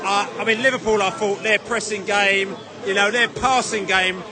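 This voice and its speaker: A chatty man hosts a fan podcast outside a football ground, his voice sitting slightly high as he jokes and fires leading questions at supporters. He is recorded on a handheld mic, and the noise of a departing crowd fills the background. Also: steady tone, moderate pace. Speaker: podcast host interviewing fans. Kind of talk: casual vox-pop chat. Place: outside a football stadium after a match. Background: crowd of departing fans.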